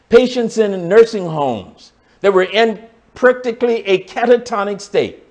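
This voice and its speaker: High-voiced man teaching scripture. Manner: explanatory, reads and comments on scripture verse by verse; addresses the congregation directly. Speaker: pastor teaching a Bible study. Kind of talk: sermon-style Bible teaching.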